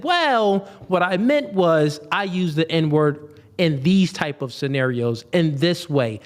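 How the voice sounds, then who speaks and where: A man hosting a podcast, reading viewer chats and chatting in a relaxed way. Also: a little high. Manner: relaxed, laughs between points. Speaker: podcast host. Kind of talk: casual chat.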